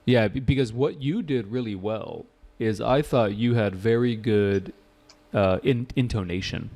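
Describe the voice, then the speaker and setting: A man's voice: fairly low pitch, a little slow, a little mellow. A man with an American accent, an English exam teacher giving spoken feedback in a class, chatty and repeating himself to drive his points home. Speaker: IELTS teacher.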